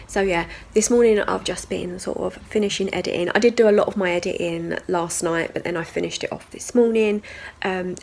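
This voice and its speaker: A woman's voice: lowish voice, vocal fry, a little hiss or hum. A woman, chatting casually in a video diary at home with a stuffy nose from a cold, rambling with lots of "like" and "so yeah". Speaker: vlogger. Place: at home and in the garden.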